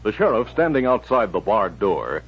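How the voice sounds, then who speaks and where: Low male radio narrator, muffled old recording. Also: big pitch swings, normal speed, husky. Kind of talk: dramatic scripted 1950s radio narration.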